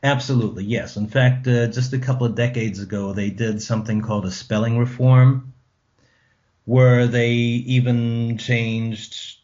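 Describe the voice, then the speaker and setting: Slow-spoken German-born man, hesitant. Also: medium pitch, steady tone, darkish tone, muffled recording. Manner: thoughtful, hesitant with many ums. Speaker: author and translator, guest. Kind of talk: radio interview.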